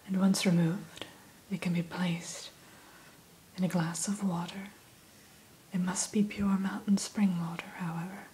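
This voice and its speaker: A woman whispering softly and slowly into a close microphone, hosting an ASMR roleplay as a dragon hatchery keeper and telling the listener about each dragon. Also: fairly low pitch, level tone, a little hiss or hum, rough recording. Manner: soft, slow unvoiced whisper; gentle, storytelling tone addressing the listener.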